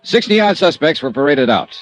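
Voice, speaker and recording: A man's voice: fairly high pitch, sing-song, moderate pace. An American man playing a cool, dogged insurance investigator in a 1950s radio detective drama. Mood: wry narration. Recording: dull vintage radio recording, some music.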